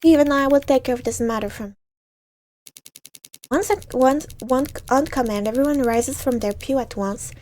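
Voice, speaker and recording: High-voiced woman reading a story game aloud. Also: moderate pace, a little mellow. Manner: stumbles over words while reading. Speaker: gamer reading a visual novel aloud. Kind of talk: dramatic read-aloud of game narration.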